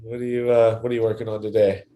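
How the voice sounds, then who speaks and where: A man hosting a video call, hoarse and stuffy from a head cold, with a raspy voice. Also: fairly low pitch, little pitch movement, fast, mellow. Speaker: host. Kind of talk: casual chat.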